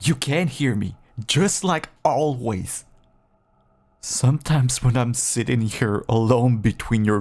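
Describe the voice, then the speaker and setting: Man acting a slow, gravelly, pleading caged vampire. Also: mid-range voice, close to an everyday voice. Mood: hurt, resigned. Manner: clingy, pleading, swinging from hushed endearments to bitter outbursts. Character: caged feral male vampire pleading with an estranged partner. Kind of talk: dramatic intimate audio roleplay monologue.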